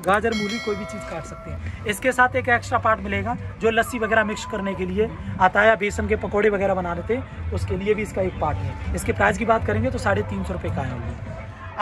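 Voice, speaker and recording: A young man giving a rehearsed sales demonstration at a market stall, listing uses in repetitive patter. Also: high voice. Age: young adult. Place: open-sided tented fair stall outdoors. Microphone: handheld camera a step or two away. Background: music.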